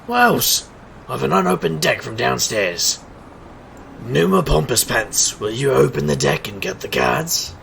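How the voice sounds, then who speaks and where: A man's voice: mid-range voice, sing-song, a little slow, creaky, a crowd in the background, heavily acted. An actor playing a cocky, wisecracking street magician and private eye in an audio drama, with a showman's wry, theatrical patter. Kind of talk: scripted audio drama acting.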